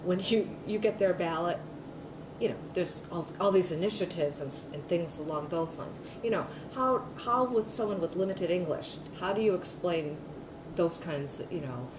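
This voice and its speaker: Low-voiced woman rambling with many fillers. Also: moderate pace, hardly any pauses. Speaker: interviewee. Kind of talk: oral history interview. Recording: echoey room, mic at a distance, noisy.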